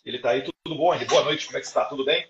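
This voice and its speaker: A man hosts a live stream in an echoey room, his voice gravelly with fry. He speaks conversationally, repeating words and adding fillers as he introduces and questions a guest. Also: mid-range voice, rapid-fire, fairly bright tone, lo-fi sound, a little hiss or hum.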